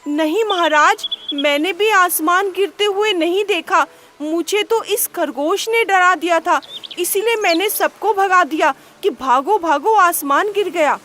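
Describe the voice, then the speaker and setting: A woman's voice: very high voice, unhurried, sharp tone, slight background noise, voice pushed up, exaggerated voice. A woman voicing a gullible male bear in a storybook, full of baffled questions. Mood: apologetic.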